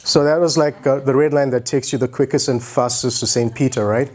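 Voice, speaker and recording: A man in his forties speaks to an event from the stage. He has a medium-pitched voice and tells his story in a loose, conversational style with a joking streak. He talks into a handheld mic as a guest speaker. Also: steady tone, a little fast, heavy background noise. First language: English. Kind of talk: informal motivational talk on stage. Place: stage in an auditorium, indoors. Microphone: handheld microphone.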